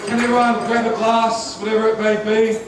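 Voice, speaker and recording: A man giving a heartfelt groom's wedding speech, with a loud voice and a few light jokes. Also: high-pitched, completely flat delivery, a little fast, very noisy. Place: wedding reception hall. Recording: echoey hall, voice from far off.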